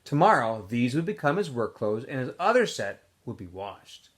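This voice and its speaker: Expressive male teacher reading aloud. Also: medium pitch, normal speed, breathy edge. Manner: casual, chatty recap with fillers, then expressive reading. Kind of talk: read-aloud of a novel for students.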